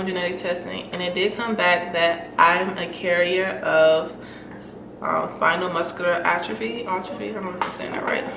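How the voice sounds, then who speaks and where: Chatty young woman, stuffy and breathless. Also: on the low side. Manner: chatty, lots of fillers and laughs. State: stuffy nose, short of breath. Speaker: vlogger. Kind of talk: casual chat to camera. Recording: echoey room, mic at a distance, noisy.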